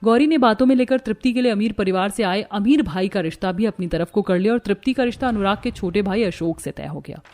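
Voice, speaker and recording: A woman's voice: mid-range voice, fast, few pauses, a little mellow. A woman reading scripted narration for a family drama cartoon. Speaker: story narrator.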